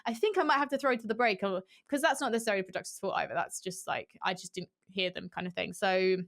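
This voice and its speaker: A young woman esports host, a guest on a video call, talking candidly and animatedly through a headset. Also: medium pitch. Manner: candid, animated, quick with restarts and fillers. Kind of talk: casual interview chat. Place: small room at home.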